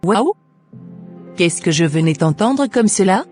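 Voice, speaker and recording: A woman's voice: lowish voice, expressive, unhurried, darkish tone, faint music behind. Devout cartoon daughter, voiced by a woman. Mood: astonished, thankful.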